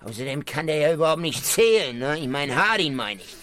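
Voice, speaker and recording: A man playing a slow-witted, colloquial deputy, taking dictation in a comic radio play. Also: fairly high pitch, big pitch swings, fairly bright tone, slight background noise. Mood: gossipy. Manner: colloquial, hesitant, easily puzzled.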